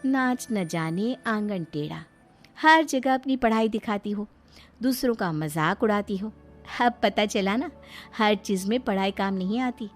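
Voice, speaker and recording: A woman's voice: medium pitch, lively intonation, a little slow, soft background music, natural voice. A woman voicing a sly village elder sister-in-law in a cartoon story, sarcastic and mock-sweet as she teases. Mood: taunting. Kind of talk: Hindi cartoon story character acting.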